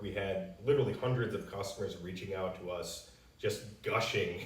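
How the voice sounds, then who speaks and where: Company rep, male, American, low register, plain-spoken casual explainer, echoey distant pickup. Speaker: company representative answering customer questions. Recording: roomy echo, distant microphone.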